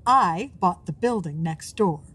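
A woman's voice: on the low side, lively intonation, a little slow, fairly bright tone, husky, heavily acted. A woman playing an effusive, breezy, nosy new neighbour in an audio drama. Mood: gushing.

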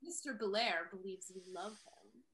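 A young woman playing a pointed, teasing confidante, a lively gentlewoman in a period stage comedy. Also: mid-range voice, normal speed, close to an everyday voice, low-quality recording. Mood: teasing, advising. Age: young adult. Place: indoors, on a video call.

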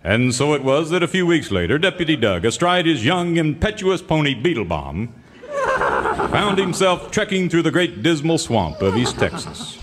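Dramatic old radio serial narrator, big swoops, echoey hall with noise behind. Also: male voice, on the high side, sing-song, moderate pace. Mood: dramatic, grandiose. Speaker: announcer. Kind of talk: scripted melodramatic narration, parody.

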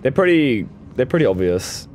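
A hoarse man whose voice is shot, casually chatting and teasing his co-host while they play a video game. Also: medium pitch, very animated, moderate pace, darkish tone, slight background noise. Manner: teasing, swears freely, laughs often. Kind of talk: casual gaming chat.

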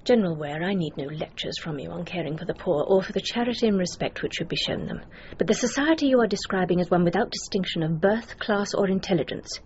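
A woman's voice: fairly low pitch, animated, fast talker, few pauses, darkish tone. A proud, grieving English lady, dull recording. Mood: cold, cutting. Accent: upper-class English. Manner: imperious, formal, emphatic. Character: proud, grieving aristocratic mother, indignant and cutting. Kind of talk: radio drama acting. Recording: dull, noisy radio drama recording.

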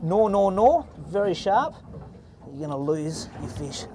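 A man's voice: sits high, big pitch swings, a little slow, warm tone. A middle-aged Australian man hosting a fishing show from a small boat at sea, chatting and explaining tips to camera. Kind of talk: casual on-water fishing commentary. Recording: faint boat and sea noise.

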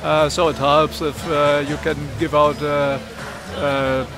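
A man's voice: on the high side, flat delivery, slow pace, music in the background. An older man from Germany with a German accent, a park volunteer speaking hesitantly with many filler sounds in an outdoor street interview. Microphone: camera mic held by interviewer.

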